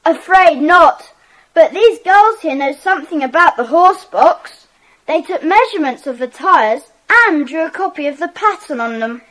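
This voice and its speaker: A woman's voice: high voice, animated, moderate pace, a bit bright, rough recording. A woman playing an eager, earnest schoolchild detective in a children's audio drama, polite and full of exclamations. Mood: earnest, helpful.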